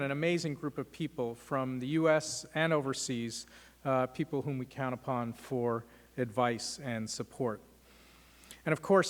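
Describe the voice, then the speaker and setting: A man hosting a law school lecture, giving measured welcoming remarks and thanks, with frequent "uh" fillers. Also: mid-range voice. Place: lecture hall. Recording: long hall reverb.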